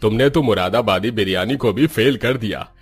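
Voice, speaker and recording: A man voicing a hearty, gluttonous elderly father-in-law with a husky voice in a cartoon story. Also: on the low side, moderate pace. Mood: delighted praise.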